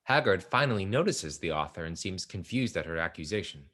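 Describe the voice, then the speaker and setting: A man's voice: deep voice, expressive, brisk, fairly bright tone. A man narrating stage directions from a script for a play reading.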